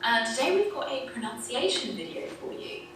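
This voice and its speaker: A woman's voice: high voice, lively intonation, a little fast, distant mic. A woman with a standard southern British accent hosting a pronunciation lesson video, explaining with cheerful laughs. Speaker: English teacher, video host. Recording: echoey video played in a room, heard from afar with noise.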